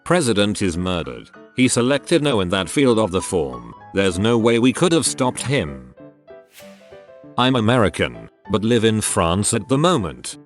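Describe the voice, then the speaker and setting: Male narrator, lowish voice, steady matter-of-fact read of forum stories over faint music. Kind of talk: reading out forum posts.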